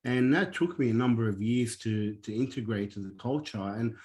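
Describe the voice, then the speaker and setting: A man's voice: mid-range voice, a little fast, darkish tone. A man born in Lebanon who speaks Arabic natively, earnestly telling his life story as a podcast guest, with many ums and restarts. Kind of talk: candid personal storytelling.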